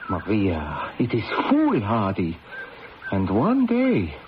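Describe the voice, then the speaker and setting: An actor playing a stern, scolding emperor who lectures with oratorical reproach in an old-time radio drama. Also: male voice, on the low side, huge pitch swings, takes it slowly, full character voice. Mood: stern, reproachful. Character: stern scolding Austrian emperor, disapproving older brother. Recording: dull lo-fi radio, faint noise.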